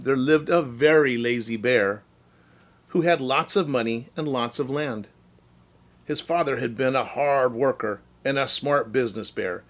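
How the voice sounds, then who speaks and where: A man reading a children's story as a teacher, expressively, explaining things in simple words. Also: medium pitch.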